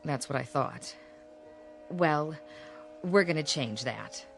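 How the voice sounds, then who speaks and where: Radio drama role, female actor: frank, pushy older-style sister, lowish voice, very expressive pitch, music behind. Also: takes it slowly, gravelly, heavily acted. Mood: coaxing, insistent. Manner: frank, insistent, emphatic. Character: blunt, pushy, worried sister of a shy man.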